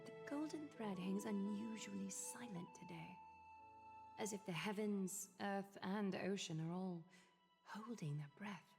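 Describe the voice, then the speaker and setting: An actress playing an aloof, calculating noblewoman leader in a video game, speaking formally and eloquently with measured composure. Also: medium pitch, creaky. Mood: solemn, pensive. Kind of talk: scripted video game voice acting. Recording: long reverb, music behind.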